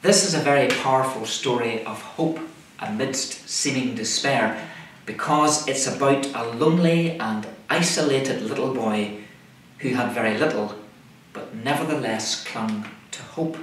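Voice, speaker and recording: A middle-aged man, a minister delivering a scripted sermon in measured, deliberate short phrases. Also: mid-range voice, a bit bright. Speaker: minister preaching. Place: indoors, small room, seated at a table. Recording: echoey room, far microphone, faint noise.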